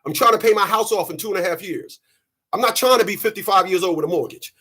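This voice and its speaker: A man in his thirties, sweaty after a run, giving an animated, preachy monologue to his channel's viewers. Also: high voice, fast, breathy edge. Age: 30s. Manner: animated, preachy, mocking quoted voices. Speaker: channel host. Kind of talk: casual monologue to camera.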